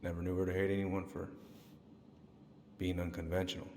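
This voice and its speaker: An actor playing a middle-aged small-town police chief and uncle in a video game, folksy, measured and paternal. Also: male voice, low-pitched, flat delivery, a little mellow, big-room sound, slight background noise. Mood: guarded, paternal. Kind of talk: naturalistic video game acting.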